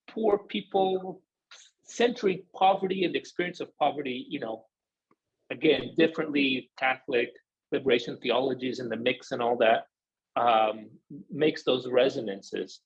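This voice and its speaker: A man moderating an academic discussion off the cuff, often pausing and searching for his words. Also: medium pitch, slightly rough, highs cut off.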